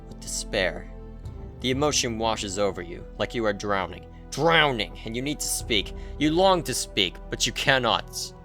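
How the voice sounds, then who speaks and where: A man narrates dark game prose in a theatrical, intense style. His bright, forceful voice swings widely in pitch and leans on deliberate pauses, with faint music underneath. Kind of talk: dramatic scripted narration of video game writing.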